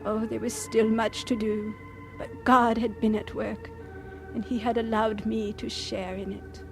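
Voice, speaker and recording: Radio drama lead: aged missionary doctor, humble, reflective, slightly high voice, music underneath. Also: female voice, little pitch movement. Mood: reflective, reverent. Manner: measured, earnest, reverent. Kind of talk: radio drama first-person narration.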